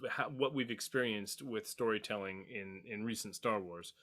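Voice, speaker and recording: A man's voice: on the low side, moderate pace, bright, forceful tone. A man talking emphatically and with strong opinions in a group discussion about a series, repeating phrases for emphasis. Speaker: podcast panelist.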